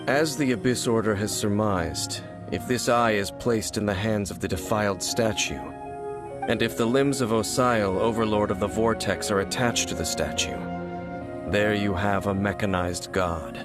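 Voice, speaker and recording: An actor voicing a composed, secretive scholar who explains things in a measured way, in a video game cutscene. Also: male voice, fairly low pitch, natural voice. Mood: grave. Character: calm, secretive man, a learned scholar. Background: game music.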